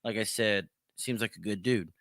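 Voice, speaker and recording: A man's voice: lowish voice, moderate pace, a bit bright, no echo, clean studio sound. A man hosting a show with casual, informal commentary and frequent swearing. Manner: informal, profane. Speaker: show host.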